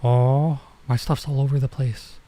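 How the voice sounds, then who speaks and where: A man doing casual let's-play commentary as a streamer, thinking aloud in a halting way and mixing in Japanese phrases. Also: mid-range voice, level tone, warm tone.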